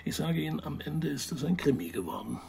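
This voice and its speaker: An actor playing an evasive investigative journalist in a radio crime drama, speaking hesitantly and precisely in German. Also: male voice, on the high side, very animated, a little fast, vocal fry, darkish tone, a little hiss or hum. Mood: explanatory, composed. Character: evasive male investigative journalist giving testimony.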